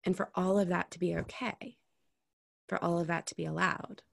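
Candid, gravelly-voiced woman. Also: on the low side, fairly even intonation, normal speed, dry recording, studio quality. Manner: candid, reflective, swears casually. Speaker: intimacy coach guest. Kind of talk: casual podcast conversation.

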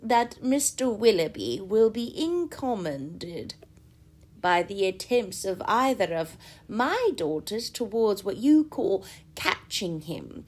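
A woman voicing a gentle, composed middle-aged mother who speaks in a measured, slightly raised voice. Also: a little high, husky, full character voice.

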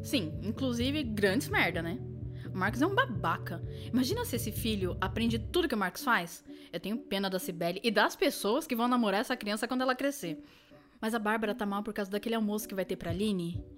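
A woman's voice: medium pitch, animated, fairly quick, soft background music, close to an everyday voice. An actress playing a blunt, loyal, no-nonsense inner voice, a Brazilian woman speaking in slangy conversational audio drama style. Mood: gossipy.

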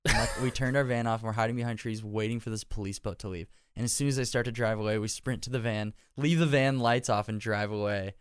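Young male musician, smooth clear voice, dry close sound, excitable storyteller. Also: on the low side, fast talker, hardly any pauses, dry recording. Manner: laughs through his stories. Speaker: touring band musician. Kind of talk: casual podcast chat.

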